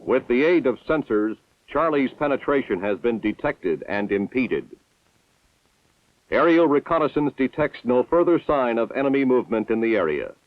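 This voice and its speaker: A man's voice: mid-range voice, very animated, no echo. Male narrator, formal scripted delivery, tinny dry vintage film soundtrack. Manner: measured, formal military delivery.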